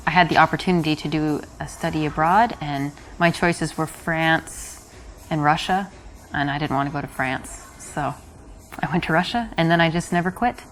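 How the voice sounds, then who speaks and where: A woman's voice: low-pitched, moderate pace, lightly acted. A woman with an American accent playing a polite, reserved young student in natural conversation. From United States.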